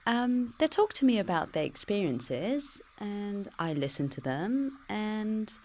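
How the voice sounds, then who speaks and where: A woman's voice: medium pitch, sing-song, slow, darkish tone, slight background noise. A woman with a British accent voicing a computer-generated future self, cheeky, teasing and always dodging the question. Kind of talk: pre-recorded game dialogue.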